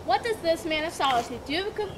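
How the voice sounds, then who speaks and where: A woman's voice: extremely high pitch, lively intonation, a little fast. A woman playing a proper, judgmental young woman visitor in a homeschool dramatic reading, haughty and indignant. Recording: loud background noise.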